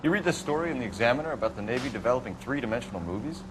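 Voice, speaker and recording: An actor playing a brisk, authoritative 1940s police detective with an American accent in a video game. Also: male voice, mid-range voice, animated, heavy background noise, close to an everyday voice. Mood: conversational. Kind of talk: video game cutscene acting, interrogation and partner banter.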